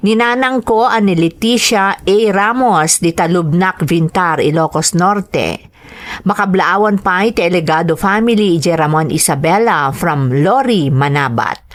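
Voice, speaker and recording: A woman with an Ilocano accent hosting a radio show, reading scripted material aloud with drawn-out phrase endings. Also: on the low side. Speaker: radio drama host reading greetings and a listener's letter.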